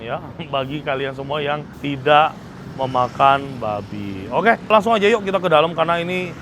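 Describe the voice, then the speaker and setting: A man's voice: fairly high pitch, lively intonation, moderate pace, fairly bright tone, a little hiss or hum. A man with a Jakarta Indonesian accent presenting a food vlog in a casual, slangy, chatty way, often tagging sentences with "ya".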